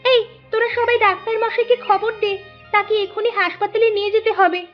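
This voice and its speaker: A performer voicing a bossy, quick-witted village girl, the big sister in a cartoon. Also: extremely high pitch, steady tone, soft background music. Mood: alarmed. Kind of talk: cartoon voice acting. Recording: dull lo-fi audio.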